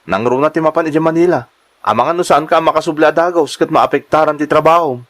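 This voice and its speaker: A man's voice: fairly high pitch, quick pace, a bit bright, close to an everyday voice. A young man with an Ilocano accent playing a worried, dutiful son in a melodramatic drama, earnest and pleading. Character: worried, dutiful grown son urging mother home. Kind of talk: amateur melodramatic drama acting.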